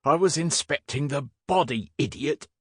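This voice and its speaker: A commanding Victorian police inspector, acted by a male audiobook reader, gruff and short-tempered. He speaks bluntly and imperiously, with big swings in pitch. The sound is dry. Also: expressive, normal speed, fairly bright tone, no echo. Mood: indignant.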